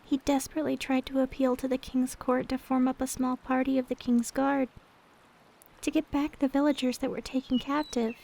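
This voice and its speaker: A woman playing a gentle, devoted young maid in an ASMR roleplay, speaking in a soft, sweet, tender way. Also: high voice, flat delivery, normal speed, vocal fry. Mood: hesitant, wistful. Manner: soft, sweet, tender, hesitant when confiding.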